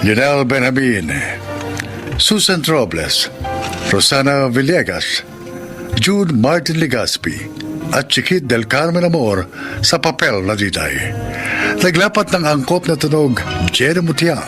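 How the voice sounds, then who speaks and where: A man's voice: medium pitch, sing-song, unhurried, long pauses. A man with a Filipino accent hosting and narrating a radio drama in a formal, dramatic announcer style. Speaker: drama narrator and program host. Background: music.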